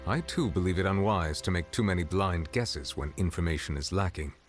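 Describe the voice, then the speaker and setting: A stately chief justice, played by a man, speaks in a very low, formal and deliberate voice. Each word is weighed with care. Faint music plays underneath. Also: natural voice. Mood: measured. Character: dignified male chief justice, measured and formal. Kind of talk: game dialogue. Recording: game dialogue with faint music behind.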